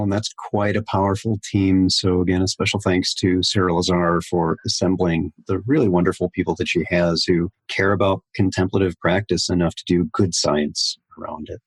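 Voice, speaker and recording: A man hosting a podcast interview, speaking in a measured, gently appreciative way. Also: low-pitched, slightly rough, no echo, rough recording. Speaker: podcast host.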